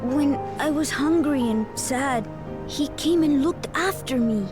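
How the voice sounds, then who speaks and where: High-pitched, earnest little boy. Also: a little slow, over music. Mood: earnest, fond. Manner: simple, hesitant childlike speech. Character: young orphaned boy, shy and earnest. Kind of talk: video game voice acting.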